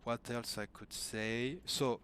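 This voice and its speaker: Man, middle-aged, hoarse, slow halting speech, faint hiss behind. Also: medium pitch, fairly even intonation. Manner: hesitant, many fillers and restarts. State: hoarse, losing his voice. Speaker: gamer asking for coaching. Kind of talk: casual recorded message to a coach. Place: home room.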